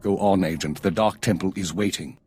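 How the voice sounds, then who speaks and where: An actor playing a stern, controlled spymaster in a video game, formal and authoritative, with a husky voice. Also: male voice, low voice, a little fast, a little mellow, a little hiss or hum, lightly acted. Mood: grave, restrained. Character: stern, controlled male intelligence chief.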